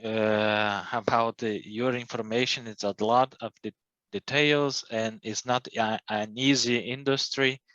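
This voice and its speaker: Slow, hesitant Brazilian man. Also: mid-range voice, steady tone, a bit bright, dry recording, lo-fi sound. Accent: Brazilian Portuguese. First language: Portuguese. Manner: halting, hesitant, many fillers and restarts. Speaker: student. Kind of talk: class discussion.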